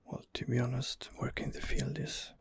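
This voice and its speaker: A man doing softly murmured ASMR game roleplay, breathy and husky, with mild, hesitant, unhurried replies close to the microphone. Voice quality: breathy, husky, half-whispered. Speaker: gamer role-playing as his character.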